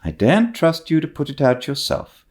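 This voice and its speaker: A man voicing a stern, sharp-tongued older spinster, brisk and disapproving, in an audiobook story. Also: fairly high pitch, big pitch swings, voice pushed up. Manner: brisk, scolding.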